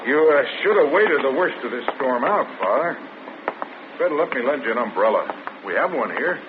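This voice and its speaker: Friendly prison guard, played by a man, lively pitch; 1940s radio play, thin tinny sound, loud hiss. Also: brisk, natural voice. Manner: folksy, hesitant with fillers. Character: friendly, chatty male prison gate guard.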